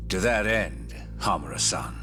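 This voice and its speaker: An actor playing a composed, imposing senior government official in a video game, speaking formally and deliberately. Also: male voice, low-pitched, music in the background. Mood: grave, resolute. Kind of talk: English video game cutscene acting.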